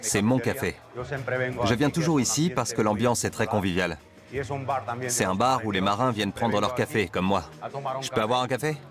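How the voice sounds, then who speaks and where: A man with a lowish voice talks casually and warmly about his neighborhood. Loud music plays over his voice. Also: normal speed, long pauses. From Algeria. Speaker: local guide. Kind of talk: casual chat to camera.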